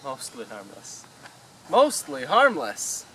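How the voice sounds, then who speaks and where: A fussy, bewildered Earthling traveller played by a man in a radio comedy. His bright voice swings widely in pitch as he stammers querulously, over clear background noise. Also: huge pitch swings, slow, full character voice, low-quality recording. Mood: indignant. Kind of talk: amateur radio play comedy acting.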